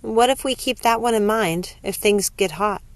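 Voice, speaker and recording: A woman's voice: medium pitch, moderate pace, no room sound, close to an everyday voice. A woman voicing a practical, reassuring young nurse in an audiobook story.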